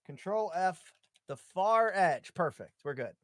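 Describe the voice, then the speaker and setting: A woman's voice: on the low side, lively intonation, a little slow, no echo, studio quality. A woman chatting and joking playfully as a cast member during a casual live table read. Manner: playful, bantering.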